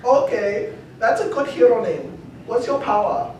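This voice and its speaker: A woman performs a weary, coaxing teacher of little superheroes in a live comedy read. The voice sits high. It comes from well off the mic in a boomy, echoing room with faint noise. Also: animated, measured pace, darkish tone, far from the mic. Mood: coaxing, patient. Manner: coaxing, wearily exasperated. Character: exasperated, patient female teacher of young superhero children.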